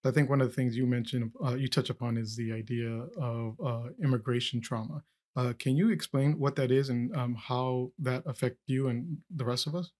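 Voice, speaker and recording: A man from Peru hosting a podcast interview, reflecting casually with halting restarts. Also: mid-range voice, fairly even intonation, a little mellow. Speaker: podcast host. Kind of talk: conversational interview.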